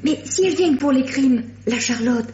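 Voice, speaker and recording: A high-pitched, cheeky young shop apprentice, voiced by an actress, with common, slangy speech. The sound is echoey and dull, like an old radio archive, with faint noise. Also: female voice, normal speed, a little mellow, lightly acted.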